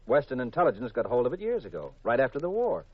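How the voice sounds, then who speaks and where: An animated American radio detective husband. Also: male voice, mid-range voice, fast, dry recording. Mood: matter-of-fact. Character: male detective husband, calm, earnestly explaining himself to wife. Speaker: radio actor. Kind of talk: 1950s radio drama acting. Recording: dull, lo-fi 1950s radio recording.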